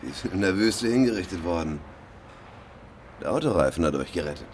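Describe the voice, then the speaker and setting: A man's voice: deep voice, expressive, brisk, a little hiss or hum. An actor playing a harsh, impatient male prison guard in a radio drama, barking curt orders. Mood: grim.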